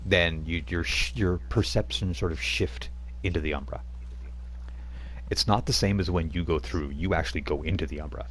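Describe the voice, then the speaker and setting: A man's voice: low-pitched, moderate pace, fairly bright tone, a little hiss or hum. A man running a tabletop roleplaying game as game master, telling the scene in a rambling, chuckling way with many fillers.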